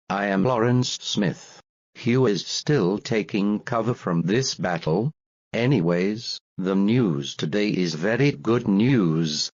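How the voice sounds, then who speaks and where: Man playing a slow, low-voiced male news anchor. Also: dry recording, highs cut off, heavily acted. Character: male world news anchor in an invasion bulletin. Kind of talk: scripted fictional news bulletin.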